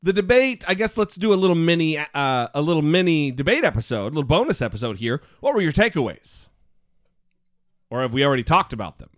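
A man's voice: a little high, big pitch swings, quick pace, a bit bright, dry recording, studio quality. A man co-hosting a podcast in casual political chat, with a goofy voice and a playful, sarcastic, teasing manner. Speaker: podcast co-host.

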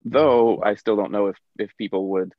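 A man's voice: on the low side, steady tone, moderate pace, no room sound. An American man co-hosting a casual podcast, talking frankly and self-mockingly with many restarts. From United States. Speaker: podcast co-host. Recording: dry, dull lo-fi mic.

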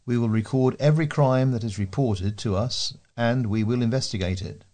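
A man's voice: medium pitch, moderate pace, dark tone. A man reading local news aloud as a volunteer newsreader.

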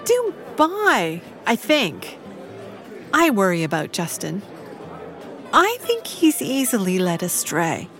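Posh chatty older widow in an audio drama, really swoopy high voice, unhurried. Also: female voice, wildly swooping pitch, fairly bright tone, soft background music, some chatter behind, exaggerated voice. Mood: wistful. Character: genteel, busy English society widow, bright and chatty.

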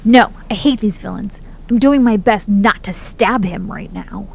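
A woman's voice: mid-range voice, measured pace, gravelly, darkish tone, husky. A woman playing a hospital night nurse, curt, hostile, and bitter. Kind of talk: audio drama acting.

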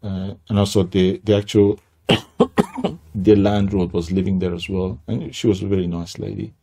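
An older man from Iran with a Persian accent, telling his life story as an interview guest in a halting way, with many restarts. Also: deep voice, level tone, dark tone, breathy edge.

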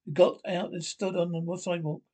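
A man narrating a radio thriller script aloud, reading haltingly and stumbling over the text. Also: sits high, steady tone, dark tone, slightly rough.

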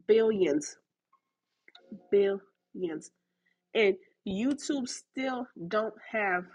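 A woman's voice: medium pitch, husky. A woman podcast host with a blend of Haitian and Southern US accent, giving emphatic motivational talk straight to her listeners and repeating her points for effect. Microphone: recorded through a voice chat app.

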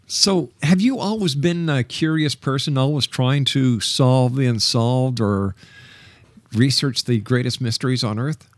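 A man's voice: medium pitch, a little slow, no room sound. A man hosting a radio talk show, introducing and interviewing in a polished, announcer-like way. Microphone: close studio microphone.

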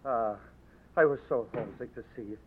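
An actor playing Frankie, a bold young Irish rebel on the run, with an Irish accent and a jaunty, brash way of talking, in a 1940s radio drama. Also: male voice, mid-range voice, a little fast, slight background noise, close to an everyday voice. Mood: warm. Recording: tinny narrow old radio broadcast.

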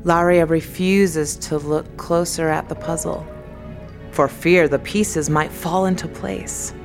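Very low, unhurried female narrator, creaky. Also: steady tone, faint music behind. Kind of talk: scripted dramatic story narration.

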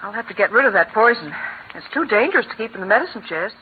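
A woman playing a cold, brisk mother. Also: medium pitch, lively intonation, lightly acted. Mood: matter-of-fact. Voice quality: crisp, clipped, firm. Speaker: radio actor. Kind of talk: 1940s radio acting. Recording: tinny old radio broadcast, faint hiss.

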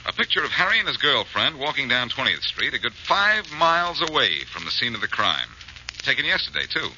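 An American actor playing a cool, self-assured, wisecracking amateur detective in an old-time radio drama. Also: male voice, medium pitch, big pitch swings, fairly quick, bright, forceful tone, full character voice. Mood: teasing, confident. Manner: glib, self-assured, teasing. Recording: noisy, muffled vintage radio recording.

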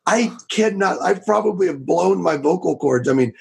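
A man in his thirties from Florida, a preacher telling his story in a casual interview, with a raspy voice, talking loud and fast with many restarts. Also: high-pitched, lively intonation. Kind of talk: casual testimony in a podcast interview.

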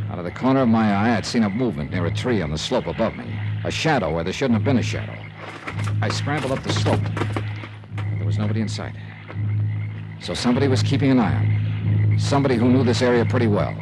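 A man's voice: mid-range voice, lightly acted, poor audio. An actor playing a hard-boiled insurance investigator who narrates his own case in a 1950s radio drama, dry and wry. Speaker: lead and narrator. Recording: old radio broadcast with music beneath.

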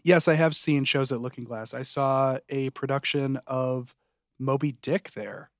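Mid-pitched man in casual podcast conversation. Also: fairly even intonation, a little slow, no echo, studio quality. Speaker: podcast co-host. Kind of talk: casual TV review podcast chat.

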